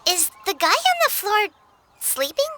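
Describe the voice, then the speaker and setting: A woman's voice: very high voice, very animated, unhurried, background noise, heavily acted. A woman voicing a tiny, perky, childlike fairy companion who speaks bluntly in a video game cutscene. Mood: chirpy, matter-of-fact. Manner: refers to self in third person, bouncy. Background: game music.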